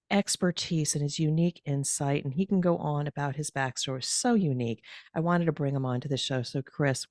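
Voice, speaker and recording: A woman hosting a podcast in a lowish voice, sounding upbeat and putting stress on key words. The recording is dry and close, with no room echo. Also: a little mellow. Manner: upbeat, emphatic host delivery. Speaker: podcast host. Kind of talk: podcast host introduction and interview.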